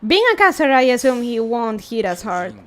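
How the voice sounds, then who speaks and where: A woman's voice: a little high, sing-song, moderate pace. A woman streaming a game, casually commenting and muttering playful reactions to herself. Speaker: streamer reading a game story aloud. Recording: music behind the voice. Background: faint background music.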